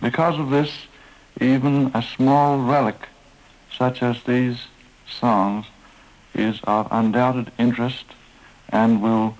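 A man answering interview questions as an expert, speaking carefully and pausing on fillers. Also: mid-range voice, level tone, low-quality recording. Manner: slow, careful, halting with fillers. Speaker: museum expert being interviewed. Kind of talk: archival radio interview.

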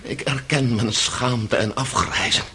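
A man's voice: mid-range voice, measured pace, slight background noise. An actor playing an anguished, passionate accused son in a radio play, telling his story with dramatic intensity. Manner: intense, dramatic storytelling, swinging from hushed confession to outburst.

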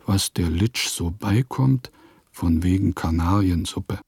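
Eccentric composer, low slow declamation. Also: male voice, a little mellow, breathy edge, dry recording, clean studio sound, heavily acted. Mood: whimsical. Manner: declamatory, savouring odd words and names. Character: eccentric male village composer reading his diary, florid and whimsical. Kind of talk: radio play diary monologue.

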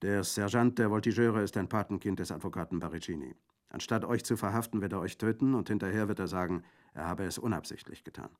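A man reading aloud, voicing a rough, loyal bandit who gives loud, brisk orders. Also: fairly low pitch, natural voice. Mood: grim.

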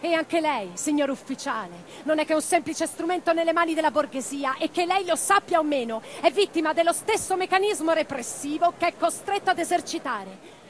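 A woman's voice: high-pitched, animated, brisk, a little hiss or hum. An actress playing an impassioned middle-aged socialist revolutionary woman in a theatrical radio drama. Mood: defiant oratory. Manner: declamatory in speeches, intimate in private moments.

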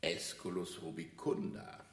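A man playing an old, resigned bank director in an absurdist radio play, speaking tersely and formally in a gravelly voice. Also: deep voice, steady tone, unhurried. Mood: wistful, terse. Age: older.

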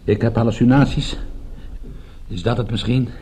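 An actor playing a wry, defiant private detective held captive, speaking drily in a radio drama. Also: male voice, mid-range voice, fairly even intonation, normal speed, warm tone, husky, close to an everyday voice. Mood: probing, sarcastic. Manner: sardonic, dry, stubborn.

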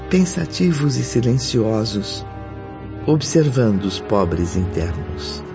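Slow, dramatic low-voiced male narrator. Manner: measured, dramatic, formal. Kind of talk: scripted radio drama narration. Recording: muffled radio drama recording with music underneath.

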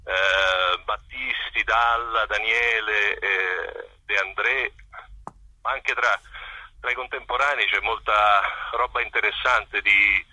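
A man being interviewed as a performer, talking casually and reflectively, often pausing to search for his words. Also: on the low side, fairly even intonation, sharp tone, dry recording, rough recording. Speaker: interview guest, singer-songwriter and performer.

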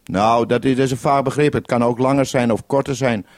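A man's voice: mid-range voice, steady tone, fairly quick, no echo. A man speaking in an instructive manner on a two-way radio link, giving step-by-step directions in a vintage 1970s broadcast. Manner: instructive, ends turns with radio procedure word. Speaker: radio programme maker on the mainland. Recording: 1971 radio broadcast recording.

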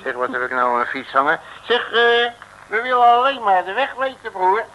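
An actor playing a cheeky, sardonic working-class detective in an old radio comedy serial. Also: male voice, high voice, lively intonation, moderate pace, pushed and bright. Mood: cheeky, coaxing. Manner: grumbling, sardonic, colloquial. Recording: noisy old radio recording.

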